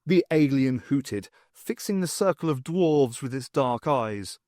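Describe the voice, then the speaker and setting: A man reading fiction as an audiobook narrator, in an expressive scripted storytelling style. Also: medium pitch, a little slow, no echo, clean studio sound.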